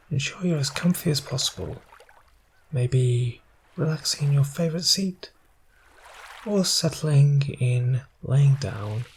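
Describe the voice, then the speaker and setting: A man guides a relaxation exercise in a soft, soothing ASMR style. His delivery is very slow, with gaps between short phrases, and his voice has a gravelly creak. There is faint noise behind him. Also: medium pitch. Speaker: guided meditation narrator. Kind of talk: ASMR guided imagery narration.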